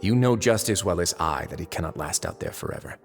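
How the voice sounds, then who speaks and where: A calculating lord of a fortress in a video game, courteous and sardonic with menace underneath. His low voice moves through very lively intonation, set in long reverb with music. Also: a little fast, vocal fry. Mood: calm menace. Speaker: voice actor in a dubbed video game. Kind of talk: theatrical game cutscene dialogue.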